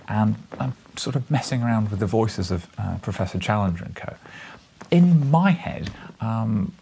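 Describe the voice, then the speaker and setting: A British man in his thirties reads and talks as a storyteller. His voice is lowish and husky, with lively swings in pitch. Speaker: audiobook reader. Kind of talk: expressive story narration and casual address. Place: small book-lined living room, indoors.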